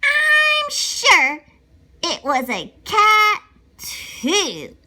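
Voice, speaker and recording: A woman voicing an excitable, insistent little schoolgirl in a children's storybook, with repeated eager interjections. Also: very high voice, very slow, pitched above the performer's own voice, full character voice. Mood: slow reciting.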